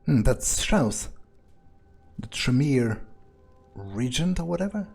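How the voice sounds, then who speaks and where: A man narrates his game playthrough in character with a dark, relaxed voice of middling pitch. He muses aloud casually and haltingly, with faint music underneath. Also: gravelly. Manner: musing, casual, hesitant. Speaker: gamer playing in character.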